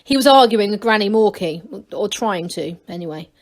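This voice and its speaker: A woman reading a story aloud in an expressive, deliberate narrating manner. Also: medium pitch. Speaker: school staff reader.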